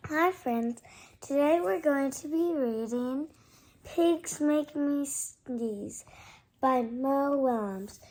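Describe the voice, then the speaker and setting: Child, high soft-toned voice, slow halting read-aloud, casual asides. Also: poor audio. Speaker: storybook reader. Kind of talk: reading a picture book aloud with casual asides.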